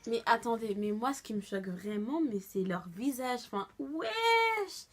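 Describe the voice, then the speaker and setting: A young woman vlogger giving a casual, expressive reaction commentary full of slang. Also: a little high, a little slow, a little hiss or hum.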